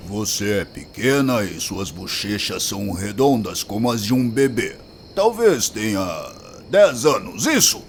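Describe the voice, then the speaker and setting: An actor playing a gruff, crude, hard-drinking but protective man in an audio drama. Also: fairly low pitch, big pitch swings, measured pace, slight background noise. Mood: gruff, softened, then brusque, decisive. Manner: blunt, crude, grumbling. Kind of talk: acted audio drama dialogue.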